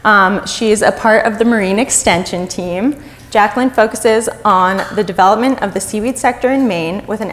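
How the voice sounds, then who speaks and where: A woman hosting a panel discussion, reading guest introductions and posing questions with light laughs. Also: mid-range voice. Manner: formal reading of bios, then light laughing. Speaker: host, moderator. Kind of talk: panel moderation. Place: theater stage.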